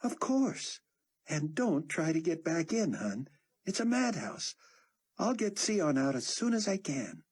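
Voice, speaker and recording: A man's voice: a little high, wildly swooping pitch, unhurried, darkish tone, breathy edge, voice pushed up, exaggerated voice. A man voicing a worried young wife speaking on the phone. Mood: urgent.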